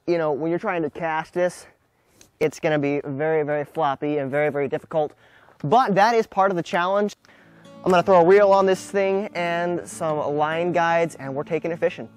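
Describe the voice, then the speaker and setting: A man's voice: a little high, no echo. A young American man presenting a casual vlog to camera, talking emphatically as he demonstrates a build. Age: young adult. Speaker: video creator, presenter. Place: outdoors by a wooden barn and gravel drive.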